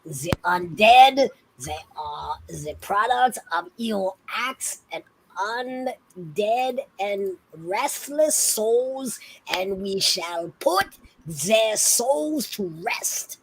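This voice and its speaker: A woman's voice: medium pitch, expressive, extremely slow, slight background noise, low-quality recording. A woman playing a zealous, grandiose hero in a tabletop game, speaking in declamatory, archaic proclamations. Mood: solemn. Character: zealous, grandiose hero sworn against the undead. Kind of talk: in-character tabletop roleplay.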